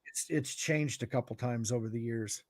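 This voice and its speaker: A middle-aged American man giving informal explanations in a halting way, as if thinking aloud. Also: mid-range voice. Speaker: guest radio expert.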